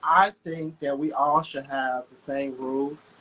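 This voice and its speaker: A man's voice: medium pitch, steady tone, unhurried, sharp tone, slight background noise, low-quality recording. A man co-hosting a podcast, talking bluntly and emphatically in casual chat, with some joking. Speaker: podcast co-host.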